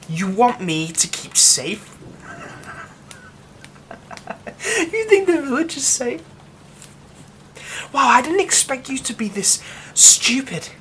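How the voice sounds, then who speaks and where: A man voicing a grieving, reclusive young man in an ASMR audio roleplay, speaking in soft half-whispers that break into bitter, scornful outbursts. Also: sits high, slight background noise, exaggerated voice.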